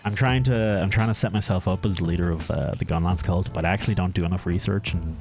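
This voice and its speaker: A man's voice: sits low, fast, darkish tone. Chatty Irish man streaming over music. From Ireland. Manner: chatty, self-deprecating, casual cursing. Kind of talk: casual live-stream chat while gaming. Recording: music playing behind.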